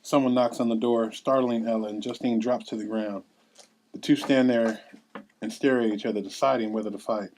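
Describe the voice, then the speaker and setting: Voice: medium pitch, fairly even intonation, brisk, darkish tone, a little hiss or hum. A narrator reading scene headings and stage directions in scripted narration at a table read.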